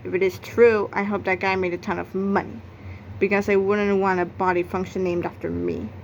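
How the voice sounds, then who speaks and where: A woman's voice: medium pitch, level tone, normal speed, vocal fry, muffled recording, a little hiss or hum. A woman narrating a read-aloud of a children's comic novel, acting out its exclamations and sound effects. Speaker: book narrator.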